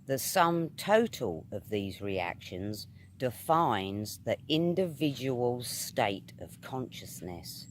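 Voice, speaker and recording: Slow, expressive British male reader. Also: mid-range voice, slight background noise. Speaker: reader of metaphysical essays. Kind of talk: scripted reading of a spiritual essay.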